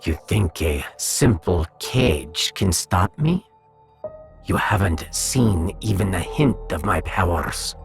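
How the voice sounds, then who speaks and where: A man voicing a menacing, pompous talking cat, a gravelly audiodrama villain bent on domination. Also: sits low, lively intonation, slow pace, faint music behind, full character voice. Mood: grandiose menace.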